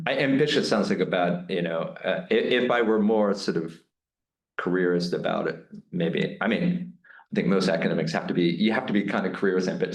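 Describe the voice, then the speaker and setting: A man with a low voice talks through his thoughts in a candid, meandering way, full of restarts and asides. The sound has a noticeable room echo. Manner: reflective, rambling with many restarts and fillers. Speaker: guest lecturer answering questions. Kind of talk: candid academic Q&A.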